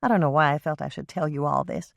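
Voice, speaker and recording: A woman's voice: deep voice, fast, gravelly, no echo, studio quality. A woman reading an audiobook and voicing a confiding woman who talks hesitantly and earnestly.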